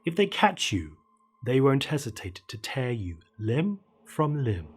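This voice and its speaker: A man's voice: medium pitch, very animated, husky. Ominous, dramatically swooping male horror narrator. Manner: theatrical, ominous, deliberate emphasis, dropping to hushed tones. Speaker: horror storyteller, show host. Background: dark ambient music.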